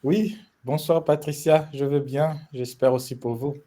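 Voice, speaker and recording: A man's voice: fairly high pitch, steady tone, unhurried, warm tone. A man, a priest joining a discussion as a guest, speaking in short conversational phrases. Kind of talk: casual greeting on a remote call.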